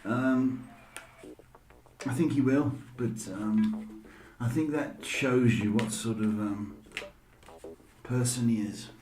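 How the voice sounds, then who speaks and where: A man's voice: mid-range voice, steady tone, slow pace, long pauses, dark tone. A middle-aged man chatting casually and jokily as a rambling live stream host. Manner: rambling, joking. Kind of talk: casual chat. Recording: echoey room, faint background noise.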